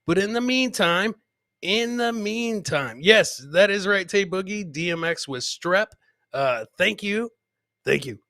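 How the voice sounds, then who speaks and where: A man with a bright, forceful, slightly high voice hosts a comedy livestream, getting over a cold. He talks in casual slang and hammers phrases by repeating them. The sound is close and dry. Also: animated, measured pace, dry recording. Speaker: podcast host.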